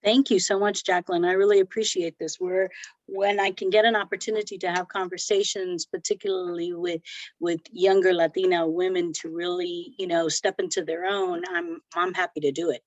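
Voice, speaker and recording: A woman's voice: on the low side, fairly even intonation, fairly quick, no echo. A middle-aged woman born in Cuba, a podcast guest talking reflectively with frequent fillers and restarts. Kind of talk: conversational interview. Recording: dull lo-fi sound, dry.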